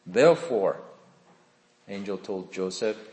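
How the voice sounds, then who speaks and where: Pastor in his 50s, lively up and down preaching voice, big echoey church hall. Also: fairly low pitch, takes it slowly, slight background noise. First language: Korean. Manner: earnest, conversational, asks the congregation questions. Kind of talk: sermon with personal testimony.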